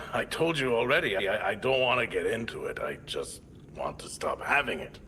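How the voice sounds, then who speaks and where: A man playing a troubled, guarded space colonist in therapy for a game audio log, speaking haltingly and reluctantly. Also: fairly low pitch, lively intonation, bright, forceful tone, slight background noise. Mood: guarded, defensive.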